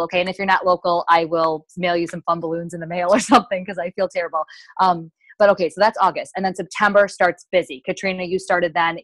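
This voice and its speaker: A woman's voice: lowish voice, fairly bright tone, dry recording. An American woman leading a casual team coaching call in a peppy, chatty, rambling way with frequent asides. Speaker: sales team leader hosting a coaching call.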